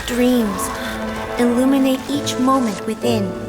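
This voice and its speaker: Slow-spoken, serene game goddess. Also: female voice, a little high, over loud music. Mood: solemn, serene. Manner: solemn, tender, poetic. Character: gentle, serene goddess saying farewell to her sister. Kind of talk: video game voice acting.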